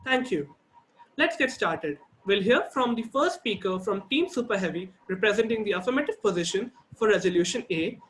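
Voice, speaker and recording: A man serving as timekeeper and facilitator, reading a formal welcome and the debate rules in a steady, procedural way over a video call. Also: high voice, normal speed, low-quality recording.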